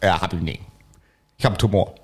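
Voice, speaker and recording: A man's voice: low-pitched, fast, breathy edge. A man casually telling a long personal anecdote and acting out the voices of the people in it. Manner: animated anecdote-telling with acted-out quotes. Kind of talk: casual storytelling chat.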